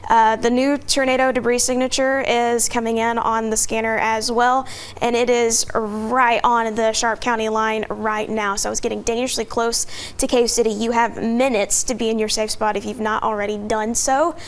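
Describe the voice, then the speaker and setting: A woman, a TV meteorologist, giving steady live updates on a tornado warning, explaining radar and roads with occasional fillers. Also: fairly high pitch, normal speed, smooth. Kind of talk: live severe weather coverage.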